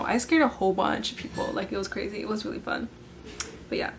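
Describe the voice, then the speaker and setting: A teenage girl hosting her own podcast, her voice worn hoarse and scratchy from yelling. She chats along eagerly at a mid pitch, stuffing her sentences with "like" and little asides, with a soft hum of ambience behind her. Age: late teens. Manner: bubbly, filler-heavy, says "like" constantly. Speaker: podcast host. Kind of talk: casual chat.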